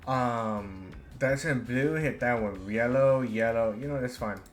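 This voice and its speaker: A man streaming a game, reading its dialogue aloud haltingly and adding casual commentary. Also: mid-range voice, soft background music. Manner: halting read-aloud with stumbles.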